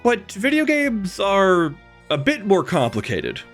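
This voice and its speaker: A man's voice: high-pitched, wildly swooping pitch, slow pace. A man narrating a scripted video essay in an emphatic, conversational way, speaking straight to the viewer. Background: background music.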